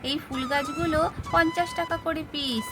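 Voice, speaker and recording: A woman's voice: high-pitched, steady tone, normal speed, music in the background, natural voice. A woman voicing an obliging, matter-of-fact fair vendor doll in a children's story. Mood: businesslike. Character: obliging village fair vendor dolls quoting prices.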